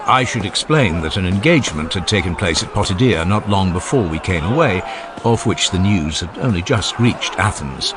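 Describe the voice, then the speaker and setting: A man narrating a classic literary dialogue as a dramatized audiobook, in a measured, expressive storytelling manner. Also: deep voice, noisy background. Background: faint ambient background sound.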